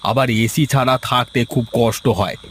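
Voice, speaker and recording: A man's voice: mid-range voice, steady tone, brisk, a bit bright, slight background noise, close to an everyday voice. A man voicing a pampered, well-off brother-in-law in a cartoon, grumbling and complaining. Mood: whiny, irritated. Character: pampered well-off city brother-in-law, whiny, heat-sick. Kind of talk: cartoon dialogue acting.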